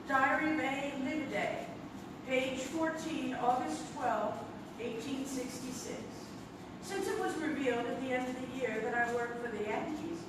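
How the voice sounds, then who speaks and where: Female archivist played by an actress, high voice, theatrical diary reading, distant in a noisy, cavernous-sounding theatre. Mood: formal, halting. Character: woman archivist reading a haunted man's diary aloud. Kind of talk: dramatic reading of an old diary. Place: live stage in a theatre. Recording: very echoey noisy theatre, far off.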